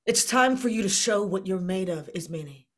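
A woman's voice: mid-range voice, lively intonation, normal speed, lightly acted. An actress playing a defiant, anguished young woman in a Greek tragedy, reading dramatically on a video call. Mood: urgent, challenging. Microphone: computer mic on a video call.

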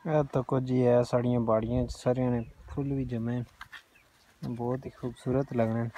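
A man's voice: mid-range voice, moderate pace, slight background noise, low-quality recording. A young man from Azad Kashmir with a Pahari-Pothwari accent, talking casually to camera as a vlogger while sowing green wheat fields outdoors. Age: young adult. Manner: relaxed, chatty narration while sowing a field. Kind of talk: casual village vlog commentary. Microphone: phone camera, held at arm's length for the selfie shot.

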